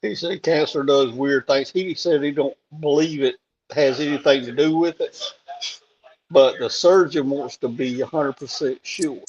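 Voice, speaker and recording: A man with a hoarse voice, chatting casually and plain-spoken on a live stream, laughing things off. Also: medium pitch, unhurried, a little mellow, a little hiss or hum. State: hoarse after a throat tube. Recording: dull lo-fi call audio.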